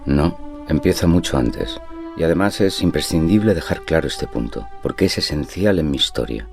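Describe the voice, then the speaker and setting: A gravelly, very deep haunted gentleman. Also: expressive, normal speed, exaggerated voice. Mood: grave, measured. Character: impressionable English gentleman Charles, haunted narrator of a murder tale. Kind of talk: dramatized first-person horror narration. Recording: narration over loud background music.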